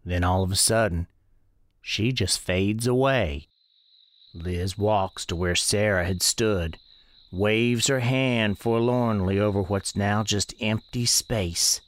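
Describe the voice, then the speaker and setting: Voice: low voice, slow, no echo. A performer narrating a radio tale in the first person as a plain-spoken, wry storekeeper. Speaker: narrator and storekeeper character in audio drama. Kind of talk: folksy first-person storytelling.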